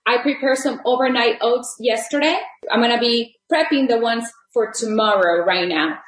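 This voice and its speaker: A middle-aged woman, a Spanish native speaker, talks through a cooking lesson in a friendly, rambling way, full of ums and uhs. Her voice sits a little high. The room around her rings with noticeable echo. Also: normal speed. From Texas border region. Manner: friendly, chatty, many fillers and restarts. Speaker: rehabilitation teacher, guest. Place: home kitchen.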